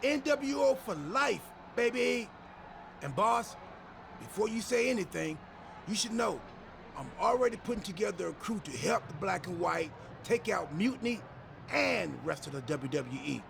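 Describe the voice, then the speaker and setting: An actor playing a goofy, over-excited wrestler in a video game cutscene, boastful and rambling about his faction. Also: high voice, normal speed, full character voice. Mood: hyped, boastful. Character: hyped, goofy male wrestler, fanatically loyal to his faction. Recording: clearly noisy background.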